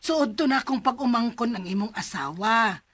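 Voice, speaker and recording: A woman's voice: fairly high pitch, animated, normal speed, a bit bright, no room sound. A woman acting a kindly, helpful older aunt in a Bisaya radio drama, speaking reassuringly with a Filipino Bisaya accent.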